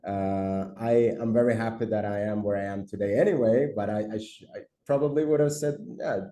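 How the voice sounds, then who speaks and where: Low-voiced man, halting, echoey room. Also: fairly even intonation, warm tone, slight background noise. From Sweden. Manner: halting, many repeated words and fillers. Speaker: startup CEO, podcast guest. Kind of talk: casual interview answers. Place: office. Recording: roomy echo on a call.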